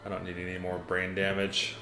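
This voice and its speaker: A young American man with a low voice chats loosely on a livestream, reading viewers' messages and calling everyone "dude" and "man." The room sounds echoey, and classical music plays clearly behind him. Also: completely flat delivery, brisk. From United States. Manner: laid-back, rambling, says "man" and "dude" often. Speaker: livestream host reading chat. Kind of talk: casual livestream chat. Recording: roomy echo, classical music playing behind.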